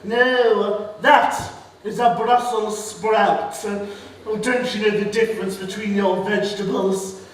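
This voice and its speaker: An echoey, distant stage recording of a campy English pantomime dame, acted by a man. The voice is very high and takes its time over each phrase, like a bawdy, scatty mother milking every line. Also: steady tone. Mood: indignant, comic. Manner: exaggerated campy comic delivery with drawn-out phrasing. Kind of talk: British pantomime stage acting. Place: theatre stage before an audience. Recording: echoey theatre stage, distant mic, faint noise.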